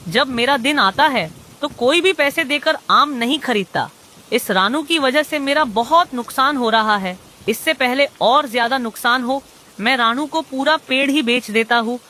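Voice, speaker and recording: Woman voicing a high, bright greedy male crow. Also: unhurried. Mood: aggrieved. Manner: blunt, bargaining, dismissive. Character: greedy, grumbling male crow who sells mangoes. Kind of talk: animated children's moral story dialogue.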